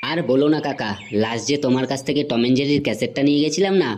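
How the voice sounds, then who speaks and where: Man voicing a fast-talking cocky cartoon boy, Bengali. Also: mid-range voice, darkish tone, slight background noise, close to an everyday voice. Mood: sly, friendly. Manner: brash, slangy, bossy. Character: cocky scheming village boy, the gang's ringleader. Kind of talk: Bengali comedy cartoon dubbing.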